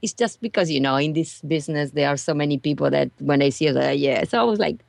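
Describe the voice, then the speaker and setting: An Italian-accented woman talks through her stories with lots of restarts and a gravelly edge to her voice. The sound is close and dry, with no room around it. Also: deep voice, fast, no echo. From Venice, Italy. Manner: animated storyteller with many restarts. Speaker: guest. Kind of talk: casual interview chat.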